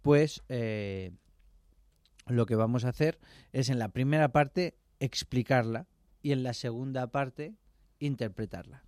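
A man's voice: mid-range voice, a little slow, darkish tone, dry recording. A man with a Madrid accent, a conductor and violinist chatting casually as a radio guest, laughing easily as he explains. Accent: Madrid Spanish.

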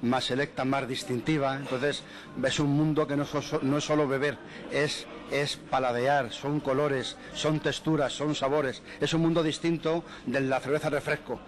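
A man's voice: mid-range voice, steady tone, normal speed. Enthusiastic man talking up his business. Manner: enthusiastic, salesy. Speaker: bar owner guest. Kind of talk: interview answers.

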